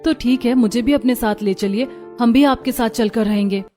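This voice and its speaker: A woman voicing an earnest, warm young daughter-in-law in a cartoon family drama. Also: fairly high pitch, normal speed, faint music behind, lightly acted. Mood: upset. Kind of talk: Hindi cartoon dubbing.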